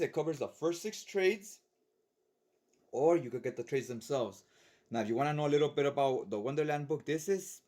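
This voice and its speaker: A man's voice: mid-range voice, darkish tone. A man with a mid-range voice presents a collector's guide in an off-the-cuff way. He is chatty and informal, talking straight to the viewer and often tacking a little check-in onto the end of his sentences. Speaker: comic book collector presenting a reading guide. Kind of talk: casual unscripted video overview.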